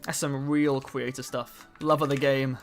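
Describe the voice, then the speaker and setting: A young man in his twenties talks casually to his livestream chat. His voice sits at a middling pitch, and he jokes at his own expense. Faint music and keyboard typing run underneath. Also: level tone, measured pace. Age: 20s. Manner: chatty, self-mocking, answers chat between tasks. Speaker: streamer.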